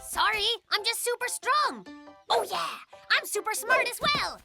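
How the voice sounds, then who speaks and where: An actor voicing an excitable, self-important young fox cub who plays detective in a children's cartoon, narrating with theatrical drama. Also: very high voice, a little slow, vocal fry, heavily acted. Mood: breezy, self-assured. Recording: music behind the voice.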